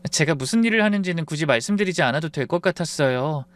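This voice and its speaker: A man voicing a polite young daughter-in-law who speaks softly and calmly. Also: a little high, fast talker. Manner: soft, composed, polite.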